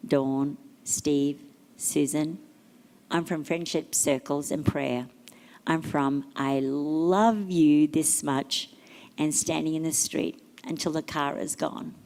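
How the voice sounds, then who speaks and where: A middle-aged woman reading family tributes aloud at a memorial service, with a gentle, measured delivery and pauses between phrases. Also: low-pitched. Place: memorial service venue, large room. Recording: hall reverb.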